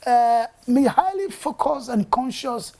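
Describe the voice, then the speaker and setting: A man's voice: very high voice, lively intonation, a little slow, warm tone. An older man with a Ghanaian accent, a reggae musician being interviewed, switching between Twi and English as he talks. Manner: mixes Twi and English, sings snatches of his song. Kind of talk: casual interview talk.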